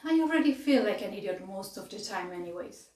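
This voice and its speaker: A woman playing a flustered, self-deprecating young woman in a film monologue, rushed and awkward. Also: medium pitch, expressive, darkish tone, natural voice. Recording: echoey room, far from the mic.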